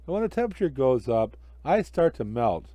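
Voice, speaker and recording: A man's voice: medium pitch, big pitch swings, brisk, mellow, no room sound, close to an everyday voice. A man voicing a naive, cheerful talking snowman with an eager, innocent manner in a children's story. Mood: earnest. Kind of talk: amateur children's storybook reading.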